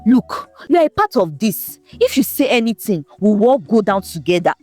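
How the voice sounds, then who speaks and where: A woman's voice: medium pitch, big pitch swings, moderate pace, soft background music. A woman voicing a threatening teenage boy bully in a storybook tale, speaking sharply. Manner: sharp, menacing.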